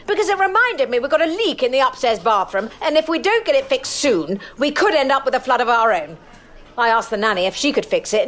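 A woman's voice: high voice, a bit bright. A woman playing a self-absorbed, posh, middle-aged suburban woman who prattles on, entitled and oblivious, in a comic monologue.

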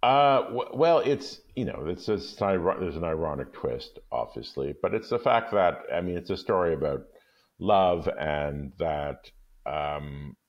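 A man speaking as an expressive story reader, in a literary storytelling style. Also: low-pitched, measured pace, dull audio.

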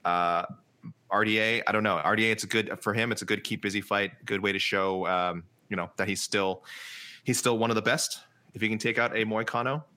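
Man, fight analyst, rambling, low voice, bright tone. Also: fairly even intonation, brisk. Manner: rambling, many fillers and restarts. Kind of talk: casual analysis chat.

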